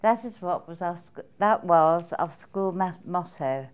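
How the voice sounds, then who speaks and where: Middle-aged woman, unhurried halting delivery, smooth clear voice, reflective testimonial. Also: lowish voice, expressive. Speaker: seminar attendee giving a testimonial. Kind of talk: personal testimonial.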